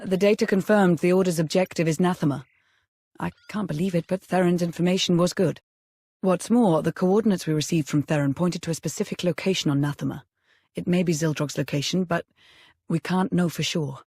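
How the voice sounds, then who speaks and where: A man's voice: high voice, a little fast, a little mellow, breathy edge, dry recording. A man playing a dutiful, determined military adviser in a sci-fi video game, serious and businesslike. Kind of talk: dramatic video game acting.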